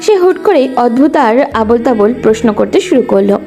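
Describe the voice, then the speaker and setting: High, mellow female story narrator. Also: expressive, fast, gravelly, faint music behind. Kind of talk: scripted romantic story narration.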